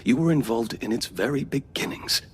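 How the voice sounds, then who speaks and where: A man's voice: mid-range voice, expressive, a little fast, slightly rough. A man playing an earnest, zealous alien peace negotiator in an audio drama, speaking in impassioned, persuasive oratory. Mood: fervent, proud.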